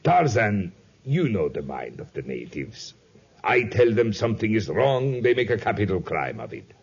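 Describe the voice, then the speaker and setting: Suspicious, domineering plantation boss; deep, heavily rasped, formal and self-justifying; muffled 1950s radio sound. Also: male voice, wildly swooping pitch, normal speed, heavily acted. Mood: grave. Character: domineering older plantation owner, suspicious, defensive father. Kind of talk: 1950s radio drama acting. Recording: dull vintage 1950s radio recording.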